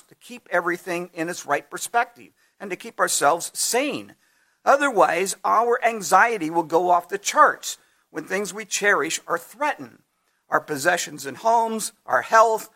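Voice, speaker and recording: A man preaching a sermon at a measured pace, his voice going hoarse, clearing his throat now and then. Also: high-pitched, bright, forceful tone. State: losing his voice. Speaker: pastor.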